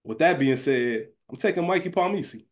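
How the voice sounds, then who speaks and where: Higher-voiced man in slangy casual chat. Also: expressive, a little fast. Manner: colloquial, slangy. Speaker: podcast co-host.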